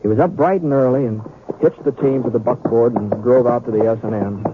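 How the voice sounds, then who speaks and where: An actor playing a homespun western storyteller, narrating a radio drama in a halting, conversational way. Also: male voice, medium pitch, natural voice. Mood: folksy, wry. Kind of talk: old-time radio storytelling. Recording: tinny radio sound with crowd noise.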